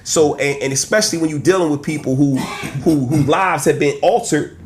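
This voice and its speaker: Animated Trenton man in his forties. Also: on the high side, normal speed, background noise. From Trenton, New Jersey. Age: 40s. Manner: animated, street slang, frequent "right?" and "man". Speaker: insurance agent guest. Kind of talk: casual podcast chat.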